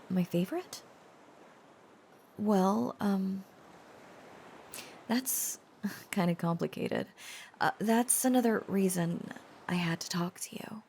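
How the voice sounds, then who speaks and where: A woman playing an earnest, devoted dragon-blooded girlfriend in an intimate romantic audio roleplay, confiding with hesitant pauses. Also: mid-range voice, gravelly, slight background noise. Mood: nervous, confessing. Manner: hesitant, confiding, soft-spoken pauses and stammers.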